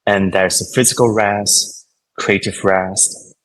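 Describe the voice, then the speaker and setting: A man's voice: fairly low pitch, level tone, slow, fairly bright tone, a little hiss or hum, poor audio. A man who grew up in Singapore, a guest coach on a podcast, explaining his ideas thoughtfully with frequent fillers. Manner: thoughtful, explanatory, with fillers and non-native grammar. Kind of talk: conversational interview answers.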